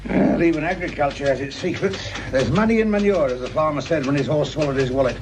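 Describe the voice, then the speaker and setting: An actor playing a polite, dryly joking police sergeant in a British radio crime drama, with an English accent and a hesitant, deferential way of talking. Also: male voice, fairly high pitch, fast talker, lightly acted. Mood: wry, casual. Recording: noisy radio drama recording.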